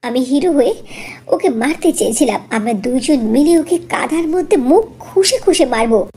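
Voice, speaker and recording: A woman's voice: high voice, lively intonation, moderate pace, dark tone. A woman voicing a feisty, demanding little girl in a cartoon, speaking in an insistent, outspoken way. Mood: indignant. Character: feisty, demanding little girl who loves hero stories. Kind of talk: Bengali fairy-tale cartoon dubbing.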